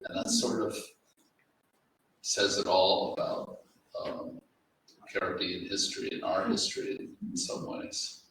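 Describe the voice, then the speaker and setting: Echoey, distant, low-voiced man, slow and halting. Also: fairly even intonation, fairly bright tone. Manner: halting, reflective, often repeating words. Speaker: book editor and panelist. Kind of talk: informal literary discussion. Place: indoor event room with audience. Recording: echoey room, distant mic, faint noise.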